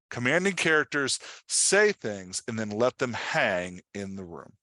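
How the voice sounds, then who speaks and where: A man's voice: medium pitch, pushed and bright, dry recording, clean studio sound. A man giving an informal workshop as a writing coach, explaining with examples and often checking in with the listener. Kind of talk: informal instructional presentation.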